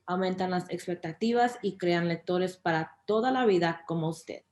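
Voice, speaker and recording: A woman's voice: lowish voice, fairly quick, a little mellow. A woman working as interpreter for a children's reading program, delivering a scripted translation. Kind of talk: scripted translation of a welcome talk.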